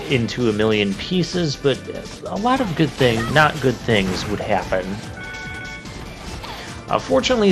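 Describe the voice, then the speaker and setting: A man giving casual let's play commentary on a video game, chatty and informal, thinking aloud as he plays. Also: medium pitch. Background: game music and crashing effects.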